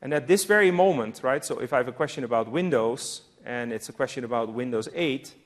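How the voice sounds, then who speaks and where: A man's voice: medium pitch, lively intonation, brisk. A man from the Netherlands with a Dutch accent gives a live technical demo, explaining his on-screen actions step by step. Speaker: software presenter.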